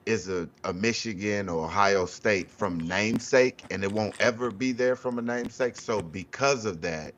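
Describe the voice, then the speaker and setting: A man's voice: on the low side, moderate pace, few pauses, a bit bright, a little hiss or hum. A man talking sports casually on a panel, blunt and informal, often circling back to restate his point. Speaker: sports talk show guest or panelist. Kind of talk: casual unscripted sports debate.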